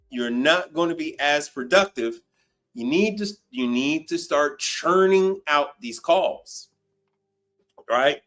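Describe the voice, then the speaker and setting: A man's voice: on the high side, fairly bright tone. A man in his fifties hosting an unscripted livestream, talking bluntly and emphatically, repeating phrases and swearing freely. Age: 50s. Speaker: livestream host, commercial appliance service technician. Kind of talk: unscripted livestream talk answering viewer questions.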